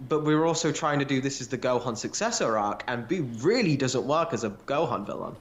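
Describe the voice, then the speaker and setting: A man's voice: mid-range voice, lively intonation, fairly quick, slight background noise. A man talking casually and analytically as a co-host of a fan discussion podcast. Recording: roomy echo, slightly dull lo-fi sound.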